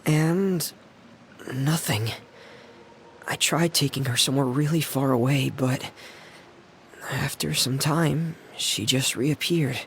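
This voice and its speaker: Shy young brother in a game, talks slow and soft, kinda downcast, medium pitch. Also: male voice, creaky, a little hiss or hum. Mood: discouraged. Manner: terse, subdued. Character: quiet, withdrawn, gentle younger brother, reserved and downcast. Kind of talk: understated game voice acting.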